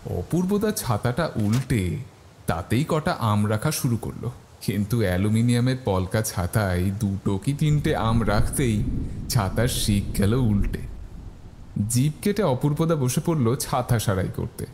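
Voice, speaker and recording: A man's voice: medium pitch, lively intonation, unhurried, a little mellow, a little hiss or hum. A man narrating a scripted first-person horror story. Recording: faint music behind the voice.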